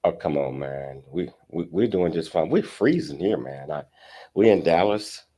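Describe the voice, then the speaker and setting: An American man hosting a casual talk show in a halting, rambling way with repeated words. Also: deep voice, expressive, mellow.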